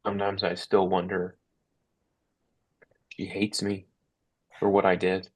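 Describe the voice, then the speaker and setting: A man's voice: deep voice, monotone, measured pace, a little mellow. A man playing a guilt-ridden, grieving father, sombre and confessional, in a dramatic table read.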